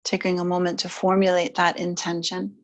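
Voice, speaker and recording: A woman leading guided relaxation and gentle yoga, speaking in short, soothing, unhurried phrases with long pauses between them. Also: fairly low pitch, monotone. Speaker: yoga nidra and stretch instructor.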